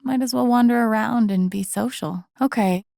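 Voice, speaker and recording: Young woman game heroine, low-key n wry, bit of vocal fry, super dry close sound, kinda thinking out loud. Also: normal speed, dry recording. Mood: musing, casual. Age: young adult. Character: introspective young woman, gentle, wry, quietly curious, empathetic. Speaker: video game protagonist. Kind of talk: naturalistic game voice acting, inner-monologue remarks.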